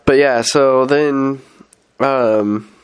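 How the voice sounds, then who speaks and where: A man's voice: medium pitch, fairly even intonation, very slow. Rambling young man with a head cold. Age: young adult. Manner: rambling, many fillers and restarts. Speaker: missionary. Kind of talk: casual weekly update vlog.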